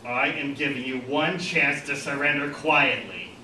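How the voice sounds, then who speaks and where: A man's voice: medium pitch, measured pace, sharp tone, slight background noise. A man voicing a furious, feisty female superhero with a defiant, taunting manner. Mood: threatening. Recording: roomy, distant mic.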